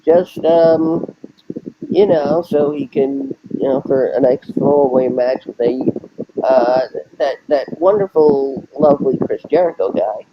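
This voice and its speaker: A man co-hosting a casual wrestling podcast, loose and profane, joking with lots of halting restarts. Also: on the high side, very animated, muffled recording, noisy background. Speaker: podcast co-host.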